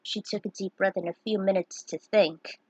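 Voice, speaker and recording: Female amateur story narrator, mid-pitched, reading fan fiction in a dry recording. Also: steady tone, moderate pace, breathy edge, dry recording, highs cut off. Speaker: fan fiction author and reader.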